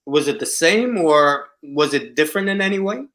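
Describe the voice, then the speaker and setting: A man's voice: fairly high pitch, animated, moderate pace, a bit bright. A man from New York hosting a casual video call conversation, asking questions with hesitant phrasing. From New York City. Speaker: host.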